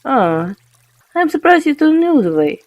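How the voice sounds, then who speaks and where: A woman's voice: high-pitched, sing-song, measured pace, darkish tone, no room sound, muffled recording. A woman playing a haughty, needling warrior she-cat with a taunting, self-satisfied manner in an amateur audio drama. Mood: mocking curiosity.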